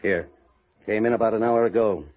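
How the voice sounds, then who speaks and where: A man's voice: on the low side, a little fast, natural voice. Dull old radio sound, man acting unpolished male working stiff, slangy, ribbing, romantic, noir radio play. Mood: wistful, ribbing. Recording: dull, muffled vintage radio recording.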